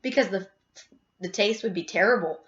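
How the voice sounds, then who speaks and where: Lady reader doing an eccentric factory owner guy from a kids book, amused, reassuring, forceful, kinda dull audio. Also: mid-range voice, normal speed, natural voice. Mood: amused, emphatic. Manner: emphatic, blithe, exclamatory.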